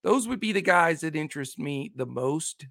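Gravelly man losing his voice. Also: a little high, lively intonation, a bit bright, dry recording, clean studio sound. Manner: rattles off numbers quickly, chatty. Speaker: podcast host. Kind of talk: casual sports betting podcast talk.

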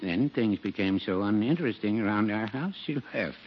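Low-voiced, hesitant old druggist. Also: male voice, lively intonation, normal speed. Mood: musing, folksy. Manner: hesitant, contradicts himself, mild. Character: mild, hesitant, folksy older male small-town druggist. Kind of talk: 1940s radio comedy acting. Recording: noisy, dull 1940s radio recording.

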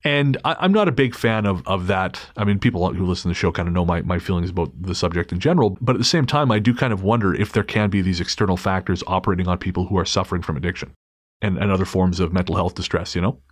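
A man's voice: low voice, fast, no room sound, studio quality. A man hosting a podcast, talking in a thoughtful, candid, self-deprecating way. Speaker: podcast host. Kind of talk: casual podcast chat and read-out story.

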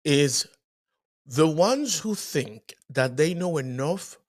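A man's voice: fairly high pitch, slow pace. Dominican-raised guy cohosting a podcast, chatty, bouncy voice, stumbles into his points. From Dominican Republic. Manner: animated, repeats words when starting a thought. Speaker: podcast co-host. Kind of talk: casual conversation.

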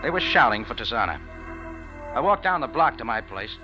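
An actor in a 1940s radio drama playing a tough, wry, unruffled cafe owner who narrates in a clipped, hard-boiled manner, on an old radio recording. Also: male voice, mid-range voice, sing-song, fairly quick, lo-fi sound, music in the background, natural voice. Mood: hard-boiled, narrating.

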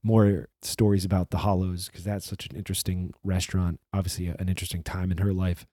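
A man with a low, mellow voice hosts a podcast in a loose, rambling way, restarting sentences and swearing freely. His voice is slightly hoarse from a recent flu, and the recording is dry and close. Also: level tone, fast, dry recording. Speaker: podcast host. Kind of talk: casual solo intro monologue.

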